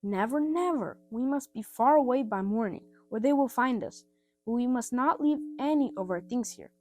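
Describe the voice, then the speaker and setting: Woman as a take-charge orphan girl, animated pitch. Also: normal speed, lightly acted. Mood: urgent. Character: caring, polite, take-charge orphan girl, older sister.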